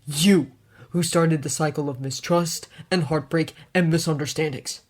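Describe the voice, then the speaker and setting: Woman doing a stern guarded rich guy, clipped deadpan, low voice. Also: normal speed. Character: stern, guarded wealthy man, cold and curt.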